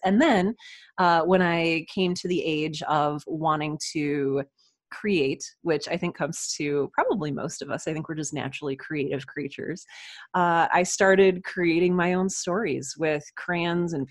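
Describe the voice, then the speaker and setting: A woman's voice: low-pitched, no echo, studio quality. A woman speaking earnestly and appreciatively as a podcast guest in a conversational interview. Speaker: podcast guest, writer and audio drama producer.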